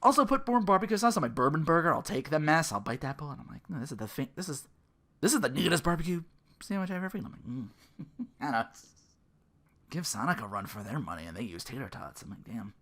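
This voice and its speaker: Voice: medium pitch, sing-song, fast talker, fairly bright tone. A podcast host in their thirties on a casual comedic rant, sarcastic and profane, sometimes slipping into mocking quotes. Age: 30s.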